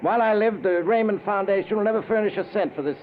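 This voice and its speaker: An actor playing a stern, skeptical older foundation head in a 1940s radio drama, emphatic and scornful. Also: male voice, high voice, fast. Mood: scornful, firm. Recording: tinny, noisy old radio recording.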